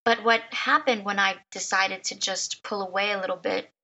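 A woman in her thirties hosting her own podcast, telling a personal story with emotion. Her voice is a little raspy from crying, and she repeats words and laughs often. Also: mid-range voice, steady tone, moderate pace, pushed and bright, lo-fi sound. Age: 30s. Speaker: podcast host.

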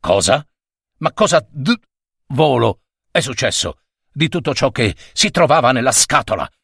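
A man's voice: medium pitch, big pitch swings, a little slow, vocal fry, a bit bright, husky, no echo, studio quality, exaggerated voice. A man voicing a grumpy middle-aged newspaper foreman who growls his orders. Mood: gruff. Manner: growling, curt.

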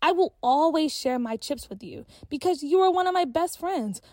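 A woman's voice: sits high, animated, moderate pace, a little mellow, no room sound, studio quality, pitched above the performer's own voice. Woman doing a frank, sassy schoolgirl. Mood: upset. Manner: direct, sassy, plain-spoken. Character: frank schoolgirl who speaks her mind.

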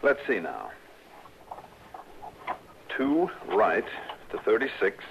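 An actor playing a shrewd, self-assured male investigator in a 1960s radio drama, smooth-talking and deliberate. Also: fairly low pitch, huge pitch swings, full character voice. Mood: shrewd, intent. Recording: dull, noisy vintage radio recording.

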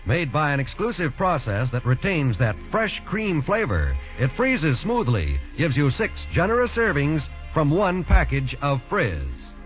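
Animated American man in vintage radio commercial. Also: on the high side, measured pace, hardly any pauses, soft background music. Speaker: commercial announcer. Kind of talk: 1940s radio advertising pitch. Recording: muffled 1940s radio recording.

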